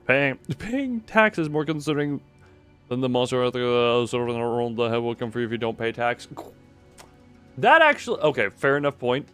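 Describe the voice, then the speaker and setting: A man's voice: medium pitch, sing-song, moderate pace, a bit bright, smooth. A man casually commentating a live game stream, rambling and thinking aloud, often stumbling on the starts of his words. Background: game music.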